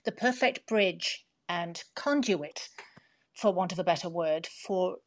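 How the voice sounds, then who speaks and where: A woman's voice: fairly low pitch, measured pace. A woman in casual podcast conversation, speaking in a supportive, encouraging way.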